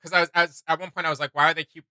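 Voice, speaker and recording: A man hosting a video game reaction show, chatting casually in a rambling, excitable, slangy way. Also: a little high, racing, dry recording, studio quality.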